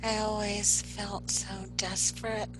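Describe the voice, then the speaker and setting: A woman speaking as a hypnosis client, giving earnest affirmations and halting short answers, with music behind her. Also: mid-range voice, flat delivery, poor audio. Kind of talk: trance-like answers and spoken affirmations.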